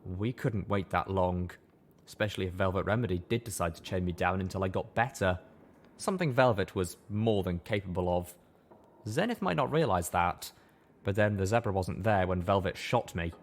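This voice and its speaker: A man narrating a first-person story aloud with expressive, scripted audiobook delivery. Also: sits low, normal speed.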